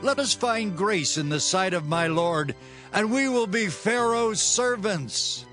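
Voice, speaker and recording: A man playing a grateful, submissive Egyptian in a dramatized scripture reading, drawn-out and reverent. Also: sits high, animated, sharp tone, faint music behind, exaggerated voice.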